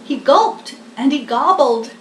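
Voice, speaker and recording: Older female storybook reader: high register, slow, expressive delivery for young kids, roomy home-style recording. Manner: deliberate, expressive, talking to small children. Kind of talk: children's picture-book read-aloud. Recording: echoey room, distant mic, faint noise.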